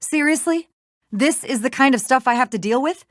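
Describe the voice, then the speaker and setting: A woman's voice: sits high, moderate pace, dry recording, studio quality, close to an everyday voice. An actress playing a bitter, sarcastic female lawyer villain in a video game, ranting with emphatic contempt. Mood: exasperated contempt.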